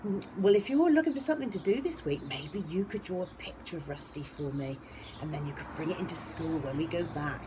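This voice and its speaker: A woman reading a storybook aloud to young children as a teacher, in a deliberate, expressive manner. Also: sits low, noisy background.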